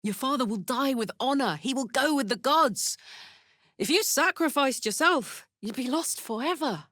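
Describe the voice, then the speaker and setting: A woman's voice: sits high, animated, moderate pace, a bit bright, dry recording, studio quality. A woman playing a tough, big-hearted, devil-touched warrior woman in a video game, with a blunt, earthy, sweary manner. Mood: tender, regretful. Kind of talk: video game character voice acting.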